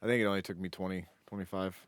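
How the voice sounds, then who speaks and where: A man's voice: low-pitched, steady tone, very fast, no echo, studio quality. An American man co-hosting a podcast, chatting and bantering casually. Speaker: podcast co-host.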